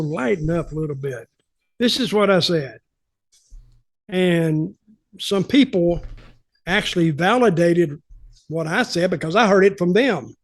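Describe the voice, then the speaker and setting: An older man telling stories casually on a podcast, blunt and opinionated, repeating points for emphasis. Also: high voice. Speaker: podcast host, veteran wrestling booker.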